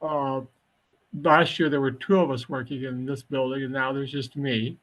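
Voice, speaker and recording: A man, a high school counselor, giving panel testimony on a video call, speaking haltingly with many fillers. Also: level tone, fairly bright tone, rough recording. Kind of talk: panel testimony at a web briefing.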